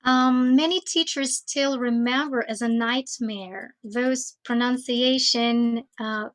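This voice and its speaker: Slow, hesitant woman lecturing. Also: fairly high pitch, steady tone, a bit bright. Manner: slow, thoughtful, with many hesitations. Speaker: teacher trainer. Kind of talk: conference talk on teaching.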